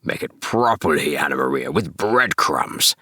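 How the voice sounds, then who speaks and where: A man's voice: very deep voice, lively intonation, measured pace, sharp tone, no echo, studio quality, heavily acted. A man voicing a greedy, imperious old male rat in a storybook, demanding and bossy. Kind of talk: storybook character voice.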